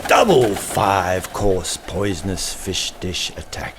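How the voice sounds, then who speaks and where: Deep, slow, theatrical male storyteller. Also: noisy background. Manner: dramatic, drawn-out phrasing dropping into hushed whispers. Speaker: author reading his own story.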